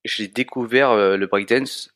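A man in his thirties from France speaks in a somewhat low voice, relaxed and a little hesitant, filling gaps with small pauses. The sound is dry and close. He talks like an interview guest explaining himself in plain, easy words. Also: dry recording. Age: 30s. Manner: relaxed, hesitant with frequent fillers. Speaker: guest, fitness coach and content creator. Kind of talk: casual interview chat.

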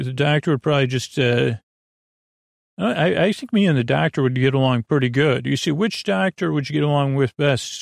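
A man hosting a bedtime podcast in a casual, meandering monologue, halting, trailing off and circling back, with a gravelly voice. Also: mid-range voice, no room sound, studio quality. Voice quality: creaky, gravelly. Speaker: podcast host.

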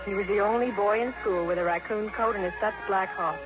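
An indulgent, nostalgic young mother, played by a woman in a vintage radio drama. She speaks in a medium-pitched voice with gentle wryness. Music plays clearly behind her on a muffled broadcast. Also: a little fast, close to an everyday voice. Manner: gently wry, reminiscing. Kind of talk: 1950s radio drama acting.

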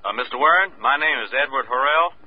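A worried American man seeking a detective's help in an old radio play. His mid-pitched voice is husky, hesitant and earnest. The recording is dry and dull. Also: animated, quick pace. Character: worried, hesitant male client pleading for help. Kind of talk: 1940s radio acting.

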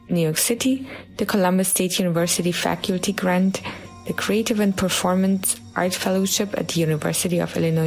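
A woman hosting an interview, reading a formal guest introduction and asking measured questions. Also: on the low side, a little mellow, poor audio. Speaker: host. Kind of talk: podcast interview.

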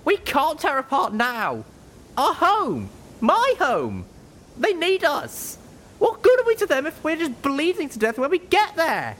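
A man voicing a young female unicorn who pleads and shouts on the edge of tears, in an audiobook. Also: sing-song, takes it slowly, slight background noise. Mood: desperate shouting. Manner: shrill, pleading, close to tears.

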